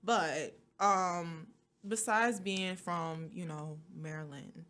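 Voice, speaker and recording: A young woman hosting a college radio interview, chatty and expressive, using casual slang. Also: lowish voice, slow. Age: young adult.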